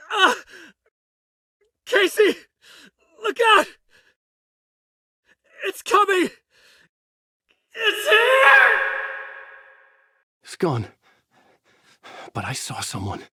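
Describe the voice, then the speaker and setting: A man's voice: extremely high pitch, wildly swooping pitch, extremely slow, pauses often, sharp tone, no echo, studio quality. A middle-aged man narrating a video game as a brooding writer, in a noir-like inner monologue. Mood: panicked. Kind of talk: hard-boiled game narration.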